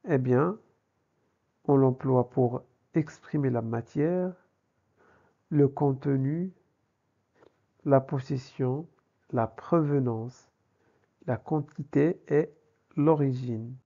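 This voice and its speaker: A man's voice: fairly high pitch, vocal fry, mellow. A man teaching a language lesson in a didactic way, posing questions and answering them himself, in a slightly dull recording. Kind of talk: grammar lesson with examples.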